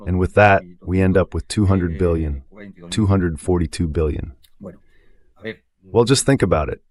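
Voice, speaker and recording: A man's voice: low-pitched, a little mellow. A man giving emphatic, sarcastic political commentary as a guest analyst, in a translated voice-over.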